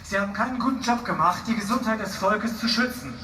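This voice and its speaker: Male rally interpreter. Very high, declamatory delivery over an echoing PA, with crowd and music behind. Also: quick pace, sharp tone, a little hiss or hum. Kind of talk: consecutive interpreting of a political speech. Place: outdoor rally in a city, over loudspeakers. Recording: echoey distant PA, music and crowd.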